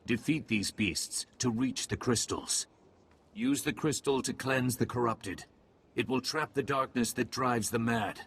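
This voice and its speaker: A man voicing a grave, calm alien mystic in a video game, giving terse, ominous pronouncements in a husky, creaky voice. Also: medium pitch, a little slow, heavily acted. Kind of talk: video game cutscene acting.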